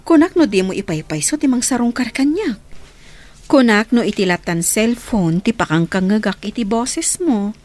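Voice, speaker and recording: A woman's voice: medium pitch, animated, moderate pace, darkish tone, soft background music, close to an everyday voice. A woman playing a friendly, shy sweetheart in a radio drama.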